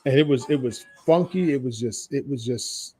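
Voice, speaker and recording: Male podcast host, medium pitch, casual and enthusiastic, slangy, leaning on repetition for emphasis. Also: normal speed, mellow. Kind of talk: casual music chat.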